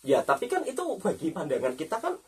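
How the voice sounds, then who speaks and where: A man's voice: fairly high pitch, huge pitch swings, fast, darkish tone, slightly rough, recorded from a distance. A man gives conversational commentary as a host, emphatically repeating his points and often adding tag questions. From Indonesia. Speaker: host of a reaction talk.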